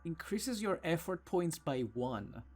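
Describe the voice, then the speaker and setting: A man with a fairly high, very animated voice gives casual video game commentary. His delivery is theatrical and unhurried, full of exclamations, over faint background noise. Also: soft background music.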